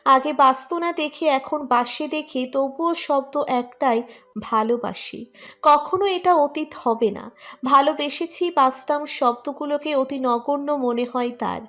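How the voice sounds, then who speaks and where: A woman's voice: high-pitched, a bit bright. A woman reading a scripted romantic story as narrator.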